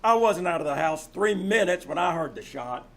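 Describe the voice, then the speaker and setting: An actor playing a smug, scheming nephew in a live old-time radio mystery, supercilious and insinuating. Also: male voice, sits high, expressive, normal speed, fairly bright tone. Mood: accusing. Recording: noisy live theater stage recording.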